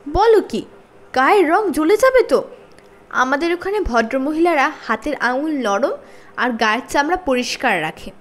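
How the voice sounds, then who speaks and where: A woman's voice: sits high, lively intonation, normal speed. A woman voicing a boastful, affected young schoolgirl in a children's story, with haughty, dramatic delivery. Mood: appalled, prissy.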